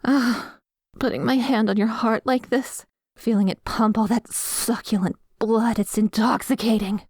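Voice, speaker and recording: A woman playing a dominant, obsessive vampire in a scripted ASMR roleplay, savouring her words with breathy sighs and slips into whispers, commanding and condescending. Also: a little high, steady tone, measured pace, a little mellow, dry recording, clean studio sound. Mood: hungry, intoxicated. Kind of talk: scripted ASMR roleplay audio, addressing the listener.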